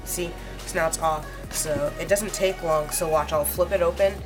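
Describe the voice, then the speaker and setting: A woman with a low, slightly hoarse voice talks through a gadget unboxing in a loose, halting way, filling the gaps with ums. Clear music plays underneath her. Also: fairly even intonation. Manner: rambling, halting, many fillers. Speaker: tech reviewer. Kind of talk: casual unboxing review.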